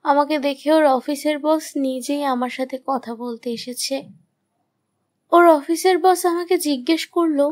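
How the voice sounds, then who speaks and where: A woman's voice: high-pitched, measured pace, darkish tone. A woman playing an anxious, suspicious young wife who narrates a horror story in a dramatic, troubled manner. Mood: worried, suspicious. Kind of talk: first-person scripted horror story narration.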